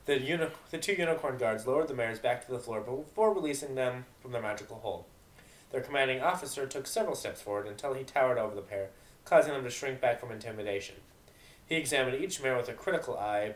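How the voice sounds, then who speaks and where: A man's voice: medium pitch, fast. A man reading narration for an amateur dramatic reading of a fan fiction story. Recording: roomy, echoing home recording.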